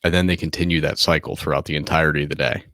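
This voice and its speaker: A man in his thirties hosting a casual late-night solo podcast from home, speaking softly and close to the microphone in a laid-back, candid way. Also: rumbling low, steady tone, racing, no room sound. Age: 30s. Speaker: podcast host.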